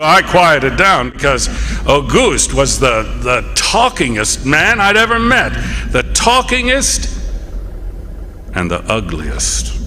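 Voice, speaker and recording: Reminiscing lighthouse keeper, radio play narration, unhurried, folksy, halting, noisy background. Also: male voice, fairly high pitch, sharp tone, full character voice. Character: plain-spoken, wistful lighthouse keeper recounting his tale.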